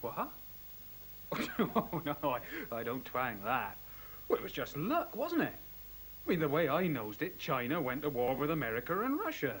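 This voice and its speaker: A man's voice: fairly high pitch, wildly swooping pitch, normal speed, fairly bright tone, rough recording. An actor playing an agitated, cynical young man in a radio play, stammering, slangy and argumentative. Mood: earnest, puzzled. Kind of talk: radio drama acting. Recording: hissy old radio play recording.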